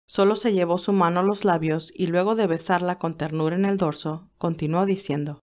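A woman's voice: lowish voice, fairly even intonation, a little fast, darkish tone. A woman narrator reading a scripted romantic story. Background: music.

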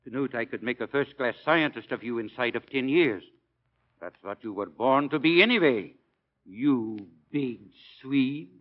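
An actor playing a demanding, brusque priest and science professor in a 1940s radio drama. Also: male voice, medium pitch, expressive, a little slow, close to an everyday voice. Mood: exasperated. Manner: emphatic, impatient, gruffly mocking. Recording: dull 1940 radio recording.

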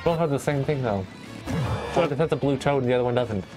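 A man's voice: mid-range voice, lively intonation, fairly quick. A young man casting a fighting game, bantering in a loose, teasing, slangy way. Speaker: esports caster. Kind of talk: casual game commentary chat. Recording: faint music behind.

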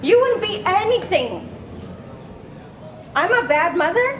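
Reproachful immigrant mother played by a woman, very high voice, live stage reading in an echoey, slightly noisy room. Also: expressive, unhurried, fairly bright tone, full character voice. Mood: angry, scolding. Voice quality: high-pitched, scolding. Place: large echoey public hall with glass balcony. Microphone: handheld microphone, heard through room speakers.

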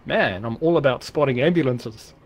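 A man's voice: mid-range voice, moderate pace, lo-fi sound. A man talking casually as he plays a co-op video game with a teammate. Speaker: gamer in a co-op session. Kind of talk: casual gameplay chat.

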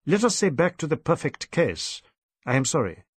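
A man's voice: fairly high pitch, big pitch swings, normal speed, slightly rough, no echo. A man voicing a big, resigned Swedish man in an audiobook, polite and hesitant. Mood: sober. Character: big, mild, resigned Swedish male visitor.

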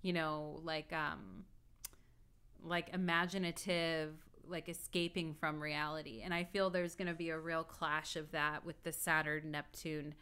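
Brief: woman in her 30s, astrologer on webcam, lower pitch, crisp and clear, rambling casual tone. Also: little pitch movement, unhurried, bright, forceful tone. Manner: rambling and reflective, full of "like" and "you know". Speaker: astrologer and tarot reader. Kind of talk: casual video talk. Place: indoor room at home.

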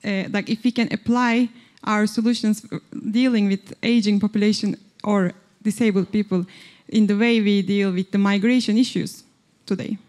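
A woman's voice: medium pitch, steady tone, moderate pace, a little mellow. A woman from Turkey in the audience asking a question, hesitant with many fillers.

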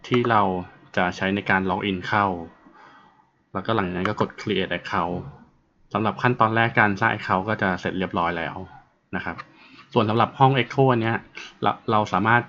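A man presenting an informal tutorial, explaining step by step in a halting way. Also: on the low side, sharp tone. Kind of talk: informal app walkthrough narration. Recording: muffled, dull audio with faint noise and clicks.

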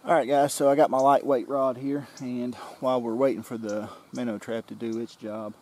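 A man's voice: mid-range voice, moderate pace. A man in his forties casually explaining his creek fishing for his outdoor vlog, talking straight to a nearby camera outdoors. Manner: relaxed, explains as he fishes. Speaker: outdoor vlogger. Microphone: camera-mounted mic, close framing. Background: faint outdoor nature ambience.

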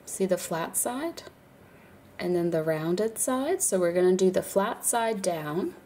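A woman's voice: lowish voice, animated, slow pace, dark tone. A woman with a stuffy nose from a cold, chatting casually as she walks through a hands-on craft tutorial, with fillers and small laughs. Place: indoors at a craft table, birds outside.

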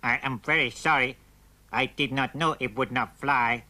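Guy doing a cheeky alien kid, bright voice, talks slow and formal. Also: medium pitch. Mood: plain. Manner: slow, stiff formal speech. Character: hungry mischievous young male alien.

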